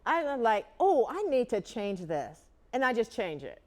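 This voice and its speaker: A woman's voice: on the high side, big pitch swings, measured pace, darkish tone. A woman co-hosting a morning TV show, chatting cheerfully about her year. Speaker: TV co-host. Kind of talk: morning TV chat.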